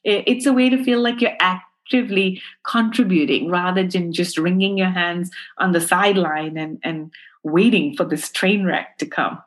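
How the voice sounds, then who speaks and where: A woman's voice: lowish voice, steady tone, moderate pace. A middle-aged woman from Pakistan, a guest speaking earnestly and passionately in an interview, often saying "you know". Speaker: guest, fashion activist. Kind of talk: interview answers.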